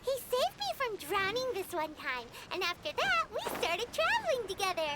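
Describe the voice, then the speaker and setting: A woman voicing a tiny, excitable floating fairy companion in a game, squeaky and gushing. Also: moderate pace, very noisy. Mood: excited. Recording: game audio with background music.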